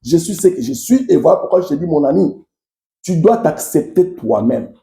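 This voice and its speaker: Emphatic Congolese French man, gravelly voice. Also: a little high, sing-song, normal speed, warm tone, roomy sound. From Kasai, DR Congo. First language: Tshiluba. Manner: emphatic, repetitive, addresses listeners directly. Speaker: motivational speaker and commentator. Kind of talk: impassioned political commentary to followers.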